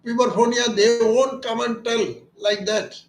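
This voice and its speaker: A man speaks as a doctor, slow and deliberate, with a fairly high voice for a man. He is earnest and emphatic, stressing his points and turning them into questions, and the room around him sounds echoey. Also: fairly even intonation, slight background noise. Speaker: ENT doctor treating voice disorders. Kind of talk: explanatory talk about a clinic's treatment.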